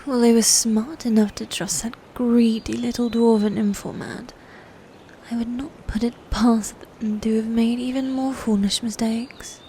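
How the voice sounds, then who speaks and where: Slow, bright-toned sadistic lady knight, acted by a woman. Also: a little high, little pitch movement, slight background noise. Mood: gloating. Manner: slow, mocking, formal old-fashioned speech with breathy whispers. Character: sadistic, taunting medieval female knight, a twin sister. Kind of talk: dark fantasy ASMR roleplay acting.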